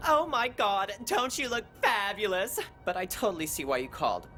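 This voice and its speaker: A man's voice: high-pitched, sing-song, moderate pace, pitched above the performer's own voice. A man voicing a flamboyant, sassy hairdresser in a cartoon dub, campy and catty. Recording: cartoon clip over loud music.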